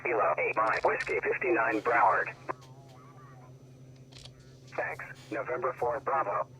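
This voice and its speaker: Creaky male ham operator on the radio, clipped call signs, lots of static noise. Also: mid-range voice, measured pace. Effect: heard through radio receiver. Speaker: amateur radio operators on air. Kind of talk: clipped radio contest exchanges. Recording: noisy crackly shortwave radio audio.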